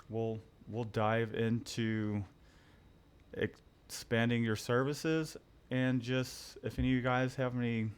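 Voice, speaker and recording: A man's voice: fairly low pitch, smooth. A man hosting a casual live stream about his print shop, rambling good-humoredly with many restarts. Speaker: host and screen printer.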